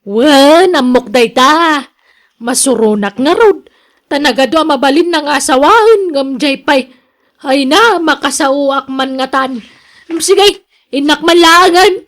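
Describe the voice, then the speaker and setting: Loud nagging mother, very high voice. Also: lively intonation, slow pace, exaggerated voice. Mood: irritated, grudging. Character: domineering, scolding mother of a grown son. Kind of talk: radio drama acting.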